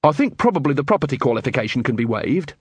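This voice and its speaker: A man's voice: medium pitch, sing-song, breathy edge, dry recording. A man voicing a young city watchman, earnest, literal-minded and formally polite, in an audiobook comic fantasy story. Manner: measured, formal, officious.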